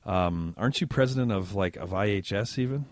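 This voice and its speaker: A man hosting a podcast interview, joking and relaxed, with many fillers. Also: on the low side, a little fast. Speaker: podcast host. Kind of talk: casual interview chat.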